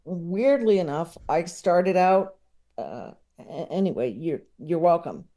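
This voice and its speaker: Slow-talking, hesitant man, soft mellow voice. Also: a little high, animated. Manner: hesitant, rambling, self-deprecating. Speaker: podcast guest, comedy writer and filmmaker. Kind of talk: casual interview chat.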